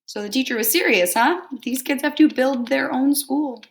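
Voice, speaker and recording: A woman teacher reading a picture book aloud to children, expressively and deliberately, pausing to put simple questions to them. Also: high-pitched. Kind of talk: storybook read-aloud with questions to young listeners.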